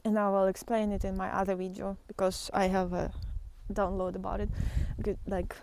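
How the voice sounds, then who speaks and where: A woman giving an unscripted, reflective spiritual monologue, thinking aloud with pauses and earnestly repeating key phrases. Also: medium pitch, little pitch movement, gravelly, darkish tone, dry recording. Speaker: spiritual teacher and artist.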